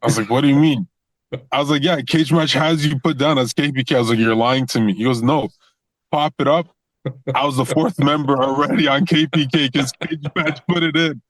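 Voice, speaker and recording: Young male wrestler guest, easygoing, rambling restarts, mid-pitched, dry mic. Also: no room sound, low-quality recording. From Toronto, Canada. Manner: relaxed, repeats words and restarts often, laughs easily. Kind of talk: casual interview chat.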